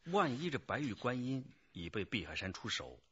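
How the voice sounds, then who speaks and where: An actor playing a worried, deliberate chief of detectives in a radio drama. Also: male voice, mid-range voice, big pitch swings, fairly bright tone, husky, lo-fi sound. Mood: worried, pondering.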